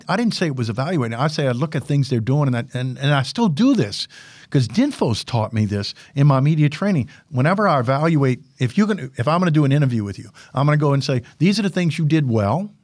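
A man's voice: mid-range voice, dry recording. Older man with a big, dry voice. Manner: animated storyteller, repeats words and restarts often. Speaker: guest, former military public affairs officer and instructor. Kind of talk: casual podcast interview.

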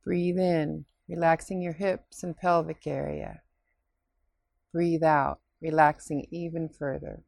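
A woman leading a scripted guided relaxation meditation in a soothing, unhurried, instructing way with pauses. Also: low voice, flat delivery, vocal fry, a little mellow, dry recording, clean studio sound.

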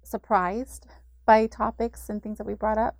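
A woman's voice: mid-range voice, measured pace, gravelly, no room sound. Woman explaining services, dry close sound. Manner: explanatory, steady, with occasional fillers. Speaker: community services director, guest. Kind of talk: informational interview.

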